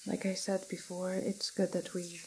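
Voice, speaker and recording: Woman, ASMR doctor roleplay, whispered, flat low-key delivery, gentle, hesitant, apologetic, faint background noise. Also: low-quality recording. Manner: hesitant, halting, gentle clinical explaining. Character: calm, apologetic female doctor in a quarantine facility. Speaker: ASMR performer playing a doctor. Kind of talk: ASMR roleplay, soft whispering and hushed talk.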